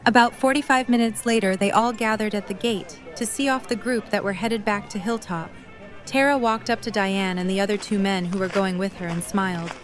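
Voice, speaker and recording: A woman gives scripted narration for an audio drama. Her voice sits at a medium pitch, with faint music behind it. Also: fairly even intonation, fairly quick.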